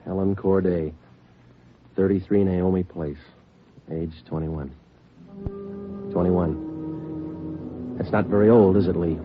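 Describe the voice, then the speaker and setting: An actor playing a terse, deadpan male detective sergeant who narrates and questions in an old radio police drama. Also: deep voice, slow, pauses often, music in the background. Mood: dry, businesslike. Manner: clipped, deadpan. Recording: noisy, muffled old radio recording.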